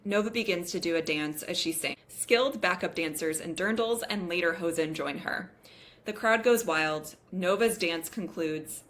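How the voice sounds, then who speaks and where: A woman with a slightly low voice narrates a screenplay. She reads out scene headings and action as the stage directions reader at a table read. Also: fairly bright tone.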